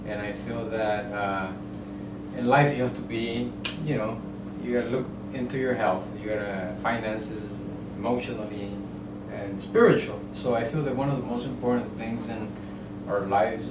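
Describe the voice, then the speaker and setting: A middle-aged man from El Salvador speaks haltingly as a guest, stopping often on fillers and reaching for homely comparisons. He sits well back from the microphone in an echoey room, with steady ambient noise underneath. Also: fairly low pitch. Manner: halting, many fillers and restarts, explains with homely analogies. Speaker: guest, real estate broker. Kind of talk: casual interview chat. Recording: roomy, distant mic, ambient noise.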